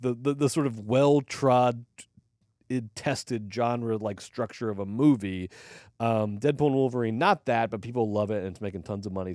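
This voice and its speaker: A man's voice: mid-range voice, darkish tone, dry recording, studio quality. A man co-hosting a movie podcast, talking casually with lots of fillers, restarts and swearing. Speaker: podcast co-host.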